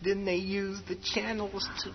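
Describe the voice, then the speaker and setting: A man's voice: high voice, little pitch movement, a little slow, gravelly. An actor playing an irritable, mocking young man who taunts his sister in a horror radio drama. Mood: eerie teasing. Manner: sarcastic, mocking, theatrical. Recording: dull radio drama mix under loud music.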